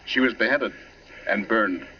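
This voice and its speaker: An actor playing an erudite doctor with wry, macabre relish in a radio drama. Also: male voice, fairly low pitch, a little slow, lightly acted. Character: erudite doctor, drily relishing grisly poisoning history. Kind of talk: 1940s radio drama acting. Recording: muffled noisy vintage radio recording.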